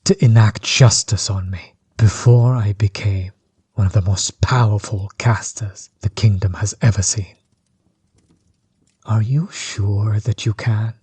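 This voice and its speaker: A menacing sorcerer overlord, acted by a man, speaks in a low, dark and very husky voice. He is measured and coldly taunting, a bitter villain in an audio roleplay whose words often sink toward a breathy whisper. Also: steady tone. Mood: bitter, mocking.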